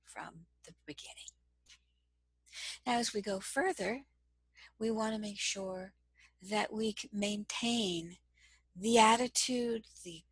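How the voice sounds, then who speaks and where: A woman with a soft voice giving a slow, measured, gentle lesson on communication as a trainer. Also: mid-range voice, gravelly, slightly rough, no echo, low-quality recording. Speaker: cross-cultural communication trainer. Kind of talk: instructional lesson to camera.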